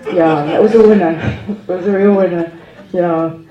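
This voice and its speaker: A woman's voice: on the low side, steady tone, normal speed, roomy sound, lo-fi sound, a crowd in the background. A woman speaking into a microphone at a group gathering, sharing her experience in a casual, self-deprecating way with frequent laughs and restarts. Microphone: handheld microphone.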